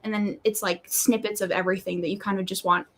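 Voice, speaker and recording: A woman's voice: medium pitch, steady tone, fast, fairly bright tone. A woman co-hosting a live readalong show, talking casually and informally about books. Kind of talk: casual book chat.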